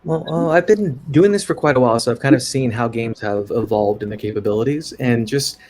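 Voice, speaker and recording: Casual mid-pitched man on a panel. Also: brisk, a little mellow. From United States, grew up in California. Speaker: game designer, panelist.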